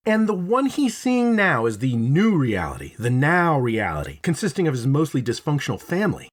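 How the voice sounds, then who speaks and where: A man with a husky voice narrates a scripted video essay like a storyteller. His pitch moves in lively swings around a middle register. The delivery is expressive and dramatic, loosened by casual swearing. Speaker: video host, reviewer.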